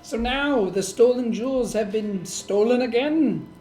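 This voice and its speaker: Woman playing a slow, deadpan hardboiled male detective. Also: a little high, slight background noise, natural voice. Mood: deadpan, skeptical. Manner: deadpan, dry, measured. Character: wry, weary male hardboiled hotel detective. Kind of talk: noir parody dialogue and narration. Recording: roomy echo, faint music underneath.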